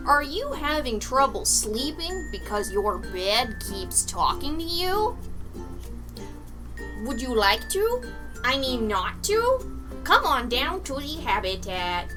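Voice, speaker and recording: A woman playing a pitchwoman in a parody commercial, delivering a slow, deliberate, oddly gloomy-sweet sales pitch. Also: sing-song, music in the background, heavily acted. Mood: mock soothing.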